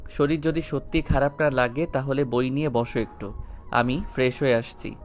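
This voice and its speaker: A man plays a romantic, protective young husband in an audio story. He speaks in a mid-pitched voice with a measured, tender manner, over faint music. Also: fairly even intonation, lightly acted. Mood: caring, firm. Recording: music behind the voice.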